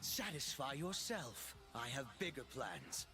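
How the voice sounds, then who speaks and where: An actor playing an arrogant, power-hungry young would-be emperor in a video game, cocky, sarcastic and imperious. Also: male voice, medium pitch, very animated, slow. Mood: defiant. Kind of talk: video game character voice lines. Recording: game music behind voice.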